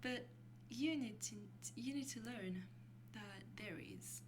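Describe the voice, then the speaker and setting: Very slow, soft whispery vampire girlfriend, played by a woman. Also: fairly high pitch. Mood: gentle, instructive. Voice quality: soft, breathy, often dropping to a whisper. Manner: slow, hesitant, intimate, with small breathy laughs. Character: affectionate young female vampire girlfriend mentoring a newly turned lover. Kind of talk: ASMR roleplay. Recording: clearly noisy recording with hum.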